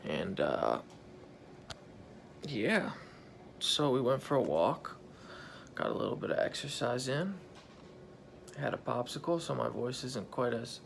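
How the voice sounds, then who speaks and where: Tired hoarse guy in his 30s, super gravelly voice, phone at arm's length in a hospital bed, faint hum. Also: lively intonation, takes it slowly, pauses often. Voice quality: gravelly, croaky. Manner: tired, low-key, relieved. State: hoarse after intubation. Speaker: patient recording a video diary. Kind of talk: casual video journal. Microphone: phone held at arm's length.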